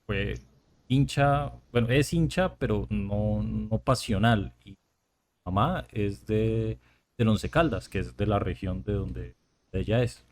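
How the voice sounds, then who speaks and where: A man from Colombia with a Colombian accent, hosting a podcast in a relaxed, chatty way. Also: on the low side, slow. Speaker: podcast host. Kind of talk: casual podcast chat.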